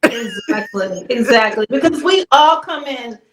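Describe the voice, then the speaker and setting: Chatty guest in her fifties, from Chicago, somewhat high voice, roomy sound. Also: lively intonation, a little slow, slight background noise. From Chicago, USA. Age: 50s. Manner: chatty, loose storytelling. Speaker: guest, hairstylist. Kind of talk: casual interview. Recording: echoey room.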